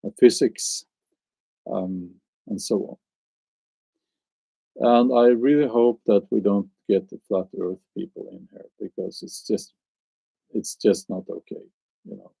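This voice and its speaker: A man's voice: on the low side, fairly even intonation, unhurried, vocal fry, pauses often, dark tone, breathy edge, no echo, low-quality recording. A man with a Norwegian accent, chatting on a live stream and answering his viewers, hesitant and musing as he searches for words. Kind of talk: casual live stream chat.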